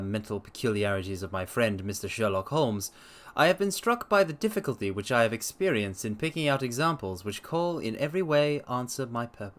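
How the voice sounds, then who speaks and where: Theatrical man hosting a dramatic reading. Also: fairly low pitch. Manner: theatrical, formal, old-fashioned phrasing. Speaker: livestream host and story reader. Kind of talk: livestream chat and dramatic reading.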